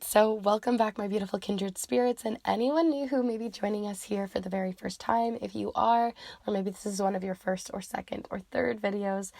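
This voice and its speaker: A woman reading tarot cards for viewers, chatty and rambling with many fillers, her voice croaky and fading from a cold. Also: mid-range voice, a little mellow, no echo. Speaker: tarot reader. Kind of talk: pick-a-card reading intro.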